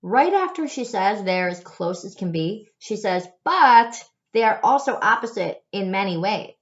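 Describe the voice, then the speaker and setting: A woman teacher talks to her students in a gentle, patient, encouraging way after reading a chapter book aloud. She often restarts her sentences and uses fillers. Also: mid-range voice, expressive, unhurried, muffled recording. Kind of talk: recorded lesson for students.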